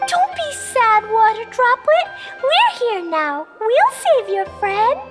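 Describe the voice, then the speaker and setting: A sweet little girl who talks in a very high, bouncy sing-song and takes her time over every word. She sounds eager and kind, and game music plays clearly under her lines. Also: big pitch swings, slow pace, in a large hall, full character voice. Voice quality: bright childish sing-song. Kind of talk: game cutscene dub.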